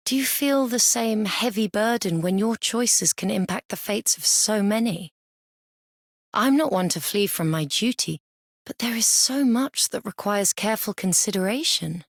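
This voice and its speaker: A woman's voice: mid-range voice, lively intonation, unhurried, no room sound, studio quality. A woman voicing a dutiful young leader in a video game, thoughtful and formal. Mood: pensive. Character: dutiful young female leader weighed by responsibility. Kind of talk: video game character voice lines.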